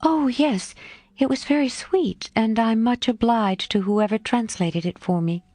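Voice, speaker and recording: A woman's voice: on the high side, a little slow, a little mellow, no room sound, voice pushed up. A woman voicing a shy, earnest young governess in a storybook reading. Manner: bashful, earnest.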